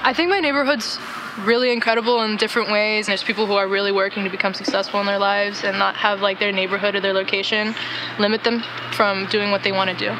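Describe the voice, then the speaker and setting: A woman's voice: mid-range voice, level tone, quick pace, noisy background. A seventeen-year-old girl from the Los Angeles area, earnestly talking about her life in a documentary interview. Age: teens. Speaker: student.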